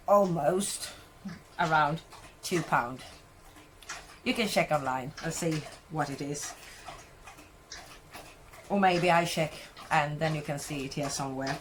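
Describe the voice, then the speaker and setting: A Swedish woman speaking English with a Swedish accent, talking slowly and unhurriedly over her kitchen work. Her voice sits a little low, and she wanders and corrects herself as she chats. There is a faint hum of the room behind her. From Sweden. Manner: rambling, self-correcting, chatty. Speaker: cooking vlogger. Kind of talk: casual cooking chat. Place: home kitchen, indoors.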